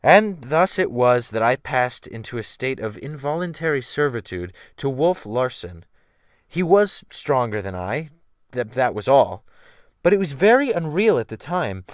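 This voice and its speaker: A man giving a theatrical, expressive first-person dramatic reading of a literary sea tale as an audiobook narrator. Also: mid-range voice, no room sound, clean studio sound. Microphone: USB desktop microphone.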